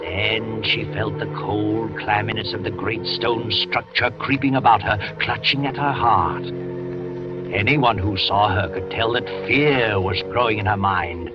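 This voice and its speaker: A man hosting and narrating a 1940s radio drama in a measured, ominous, theatrical way. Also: fairly low pitch. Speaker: host and narrator. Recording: dull old radio broadcast with music.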